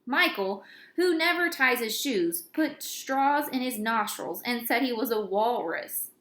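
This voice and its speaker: A woman reading a children's chapter book aloud in an expressive storytelling style. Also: on the high side, a little slow. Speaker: reader of children's books. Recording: roomy, echoey indoor recording.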